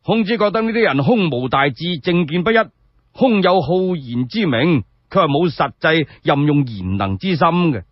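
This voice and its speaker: Male Cantonese radio storyteller, higher-pitched and colloquial, very lively delivery, slightly muffled recording. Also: moderate pace, no room sound. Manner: colloquial, dramatic. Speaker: solo storyteller. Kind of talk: animated oral storytelling of historical tales for radio.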